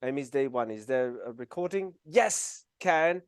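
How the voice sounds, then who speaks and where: A man's voice: medium pitch, animated, normal speed, clear, resonant tone, dry recording, studio quality. A man from Mexico with a Mexican accent, teaching a live online animation class in a chatty way, with many fillers and laughs. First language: Spanish. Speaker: animation instructor.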